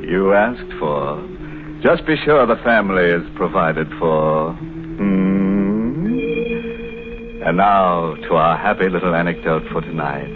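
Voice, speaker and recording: An actor playing a ghoulish, mock-genial horror show host, droll and theatrical, in 1950s radio style. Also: male voice, low voice. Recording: muffled old radio recording with music.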